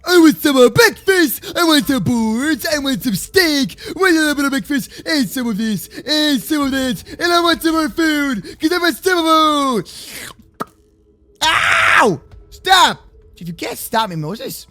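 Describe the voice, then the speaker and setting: A young man doing excitable, playful gaming roleplay commentary for children, slangy and exclamatory. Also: extremely high pitch, a little slow. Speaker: host of a gaming roleplay channel. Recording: faint music behind the voice. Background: faint music.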